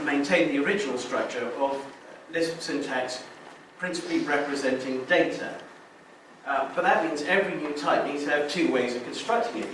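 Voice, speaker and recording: A man giving a technical conference talk, explaining step by step, with frequent restarts and fillers. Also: medium pitch. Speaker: conference speaker. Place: lecture room. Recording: very echoey hall, distant mic, faint noise.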